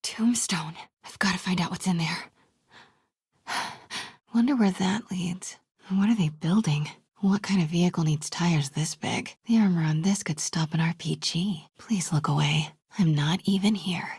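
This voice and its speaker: A very breathy, slightly low-pitched young American woman as a plucky investigative reporter in a video game. She sounds natural and determined, with a wry edge, in a dry, close recording. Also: a little slow, no echo, full character voice. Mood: hushed, curious. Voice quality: breathy, often hushed.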